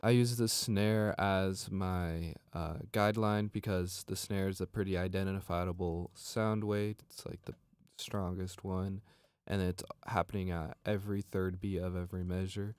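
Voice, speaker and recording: A man narrating a software tutorial as a music production instructor, explaining step by step in an informal way. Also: low voice, steady tone, measured pace, clean tone, no room sound.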